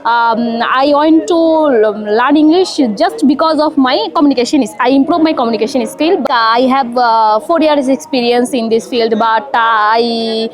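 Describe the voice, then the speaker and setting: A woman from Bangladesh whose first language is Bengali, practicing spoken English in a halting way, with many fillers and pauses. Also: on the high side. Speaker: English learner.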